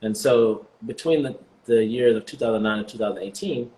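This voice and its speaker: A man in his forties, born in the US and partly raised in Jamaica, answering interview questions as a guest in a relaxed, reflective way with many fillers. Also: fairly low pitch, a little fast, a little hiss or hum, low-quality recording. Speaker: guest, vegan bodybuilder.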